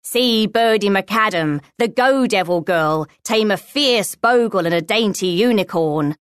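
A woman voicing a stout, brusque fairground showman with booming, theatrical patter in a storybook reading. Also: expressive, unhurried, clear, resonant tone, no echo, clean studio sound, lightly acted. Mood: booming, enticing.

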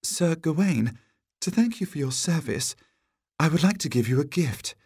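A man's voice: a little high, lively intonation, normal speed, a little mellow, husky, no room sound, clean studio sound, pitched above the performer's own voice. A man voicing a polite, grateful young damsel in a storybook, with courteous, formal speech. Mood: formal, gracious.

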